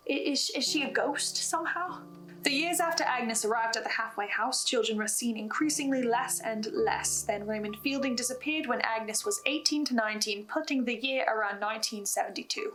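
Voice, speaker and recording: Female recap host, higher voice, scripted storytelling with personal asides, faint music underneath. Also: normal speed, a bit bright, low-quality recording. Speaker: host of a recap and theory video on a horror podcast.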